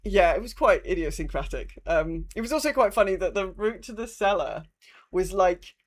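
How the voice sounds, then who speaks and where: Female podcast co-host, British, medium pitch, casual and anecdotal with dry understatement. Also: animated, moderate pace. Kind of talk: casual chat.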